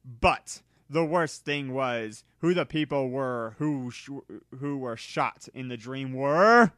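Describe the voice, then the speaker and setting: A man narrates fiction with theatrical, exaggerated emphasis. His voice is bright and forceful at an ordinary pitch, and the recording is dry, with hardly any room in it. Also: animated, slow, no room sound. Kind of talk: dramatic reading of fan fiction.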